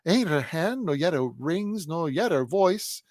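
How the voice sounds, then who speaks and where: A man voicing a bewildered but stubborn ragged street boy in a high, strained working-class way of talking. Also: lively intonation, measured pace, a little mellow, no room sound, studio quality. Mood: perplexed. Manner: high, strained cockney-style street talk.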